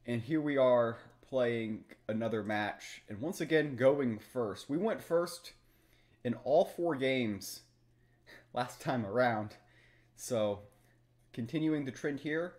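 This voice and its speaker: A man's voice: medium pitch, takes it slowly, a little mellow. Young man thinking aloud over a game. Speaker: streamer, card game player. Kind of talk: live gameplay commentary. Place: small room at home, indoors.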